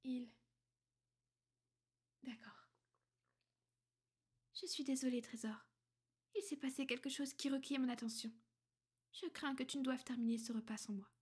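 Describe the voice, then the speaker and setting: A woman voicing a powerful, protective, ancient vampire noblewoman in an ASMR roleplay, soft-spoken and breathy, formal and measured, slipping into whispers. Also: high-pitched, fairly even intonation. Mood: composed, apologetic. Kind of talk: French ASMR girlfriend roleplay.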